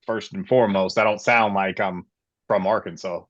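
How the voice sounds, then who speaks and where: A man's voice: on the low side, fairly even intonation, moderate pace, no room sound, dull audio. A man in his late forties from Florida, hosting a casual sports podcast and telling his own story with passion. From Florida, USA. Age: 40s. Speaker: podcast host.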